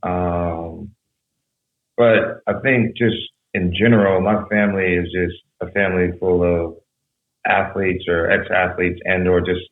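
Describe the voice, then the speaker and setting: Podcast guest, young man, very low voice, rambling storyteller, phone-like echoey sound. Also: unhurried. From San Jose, California. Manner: relaxed, rambling storytelling with fillers. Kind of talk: casual conversation.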